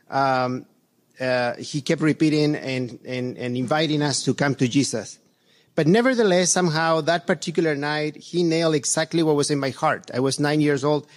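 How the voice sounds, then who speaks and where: A middle-aged man from Chile, a church elder giving an earnest, halting reflection to his congregation, with many fillers and restarts. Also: a little high.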